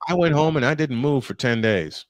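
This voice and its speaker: A man's voice: mid-range voice, lively intonation, moderate pace, no room sound, clean studio sound. A man calling baseball play-by-play live, mixing it with casual chat in a folksy, exclamatory way.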